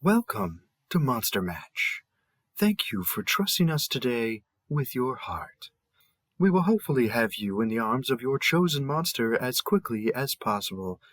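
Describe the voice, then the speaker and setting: A man's voice: mid-range voice, fairly bright tone, dry recording, studio quality. A man playing a cheerful, polite dating-app host in a scripted audio roleplay, with a courteous, presenter-like delivery. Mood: cheerful, welcoming. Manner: courteous, measured, salesman-like welcome delivery.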